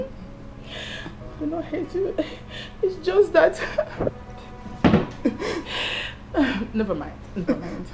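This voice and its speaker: A woman's voice: extremely high pitch, exaggerated voice, low-quality recording. An actress playing a domineering, emotional Nigerian mother-in-law in a melodramatic film, swinging between scolding and tenderness. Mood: tearful, tender. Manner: scolding, repeating demands, emotional. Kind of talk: melodramatic film drama acting. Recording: music bed under dialogue.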